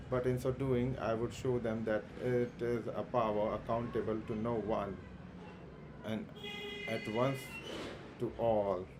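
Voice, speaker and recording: A man streaming a game, reading the on-screen dialogue aloud slowly and plainly, with stumbles and restarts. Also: medium pitch, noisy background.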